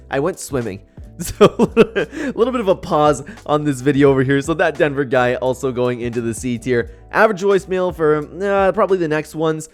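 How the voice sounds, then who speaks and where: Male gaming host, somewhat high register, animated casual commentary, music bed underneath. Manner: animated, chatty, acts out imagined voicemails. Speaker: gaming YouTuber hosting a tier-list video. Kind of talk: casual ranking commentary with impressions.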